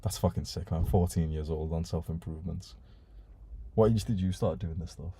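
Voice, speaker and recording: A man whose first language is Urdu, hosting a casual livestream and reading out donation messages, relaxed and sprinkled with swearing and "bro". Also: sits low, moderate pace, slightly rough. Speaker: livestream host.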